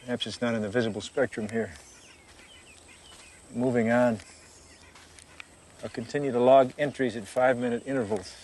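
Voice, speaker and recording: An actor playing a weary, dutiful middle-aged military pilot with clipped, resigned speech in a science fiction TV drama. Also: male voice, medium pitch, steady tone, moderate pace, pauses often, a little mellow, slight background noise, natural voice. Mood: measured, wary.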